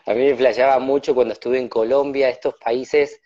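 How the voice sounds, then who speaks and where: A man hosting a live video-call interview, talking in a relaxed, reflective, rambling way. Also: medium pitch, normal speed. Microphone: phone on a video call. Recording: dull lo-fi video-call audio.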